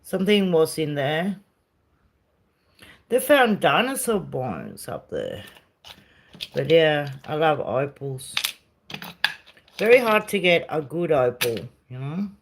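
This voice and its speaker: Middle-aged woman, South American origin, relaxed home streamer; unhurried and chatty, phone mic indoors. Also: low voice. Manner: chatty, talks sweetly to her dog. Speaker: live streamer. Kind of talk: casual home live stream tour. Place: rented house, indoors.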